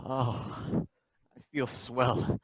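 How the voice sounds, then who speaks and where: An actor playing a dreamy, drawling inspector who is eerily calm, in an old-time radio drama. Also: male voice, medium pitch, drawn-out words, a little hiss or hum.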